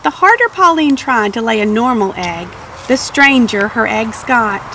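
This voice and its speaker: High-voiced woman reading a children's story. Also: animated, normal speed, bright, forceful tone, slight background noise, low-quality recording. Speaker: storybook reader. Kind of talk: expressive children's story narration.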